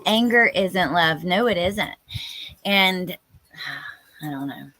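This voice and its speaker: Low-pitched woman chatting casually on a livestream. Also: expressive, slow, sharp tone, no echo, rough recording. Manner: informal, chatty, exclamatory. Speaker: live stream host. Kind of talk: casual chat with live viewers, reading out comments.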